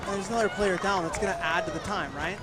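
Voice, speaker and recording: Fast-talking eager soccer co-commentator. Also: male voice, high voice. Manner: eager, urging players on. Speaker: color commentator. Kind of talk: live soccer color commentary. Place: outdoor soccer field. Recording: very loud crowd and outdoor noise. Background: loud cheering crowd.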